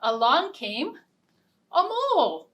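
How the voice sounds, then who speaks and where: A woman librarian leading a children's storytime, singing simple repeated verses and reading a picture-book story in a gentle, expressive way. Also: sits high, slow, far from the mic. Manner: gentle, sing-song and expressive. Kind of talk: children's storytime with songs and ukulele.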